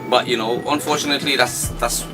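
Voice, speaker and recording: A man's voice: mid-range voice, fairly even intonation, a little fast, over music. A man in his forties from Trinidad, a shop owner giving earnest, rueful interview answers full of fillers. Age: 40s.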